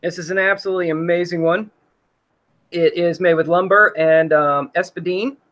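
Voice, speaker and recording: A man's voice: high-pitched, steady tone, moderate pace, a bit bright. A man hosting a casual livestream, rambling with many fillers while he reads out chat comments. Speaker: host.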